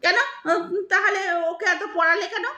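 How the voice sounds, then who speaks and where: A woman playing a sharp-tongued older Bengali wife and mother in an audio drama, scolding with sarcastic emphasis. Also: very high-pitched, fairly even intonation, moderate pace, dull audio. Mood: sharp, impatient. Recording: roomy, echoing sound.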